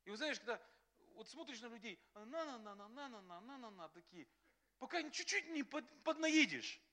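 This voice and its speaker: A man preaching a sermon as pastor, animated and acting out quotes, often laughing. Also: very high-pitched, normal speed. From Russia.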